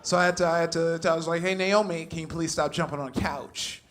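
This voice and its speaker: High-voiced young comedian on a noisy stage. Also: male voice, fast. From Compton, California. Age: young adult. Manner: animated, laughing through punchlines, acting out shouted quotes. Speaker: stand-up comedian. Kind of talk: stand-up comedy set. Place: comedy club stage with a live audience.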